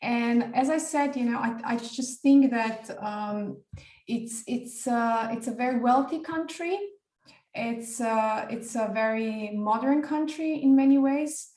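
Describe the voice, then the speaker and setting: A woman's voice: a little high, takes it slowly, darkish tone, reverberant, distant mic. A woman from Slovenia, a podcast guest talking casually about spiritual topics, often hesitating and restarting. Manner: hesitant, many fillers and restarts.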